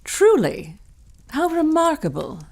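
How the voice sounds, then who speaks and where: An actress playing a poised, polite young governess in a period audio drama, formal and courteous. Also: female voice, high-pitched, huge pitch swings, takes it slowly, a little mellow, exaggerated voice. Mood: gracious.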